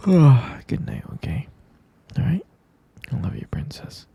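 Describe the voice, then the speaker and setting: Guy doing a tender half-vampire boyfriend for asmr, sleepy breathy murmur, super crackly, keeps repeating himself softly. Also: fairly high pitch, no echo, full character voice. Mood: sleepy, soothing. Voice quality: breathy, gravelly whisper and murmur. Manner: slow, hesitant, repeating phrases, affectionate. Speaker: ASMR roleplay performer. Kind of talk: intimate ASMR boyfriend roleplay.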